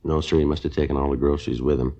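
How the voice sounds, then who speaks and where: An American man playing a calm, courteous, methodical police detective in a 1950s radio crime drama, clipped and businesslike. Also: rumbling low, rapid-fire. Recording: dull old 1950s radio recording.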